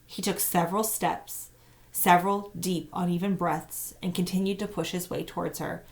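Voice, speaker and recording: Female teacher-narrator, slightly low register, expressive storytelling for young listeners. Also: normal speed, gravelly. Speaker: teacher reading aloud. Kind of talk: children's novel read-aloud narration.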